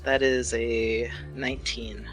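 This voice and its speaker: A man's voice: mid-range voice, flat delivery, slow pace, noisy background. A man playing a tabletop fantasy game, thinking aloud casually as he decides what to do. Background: music.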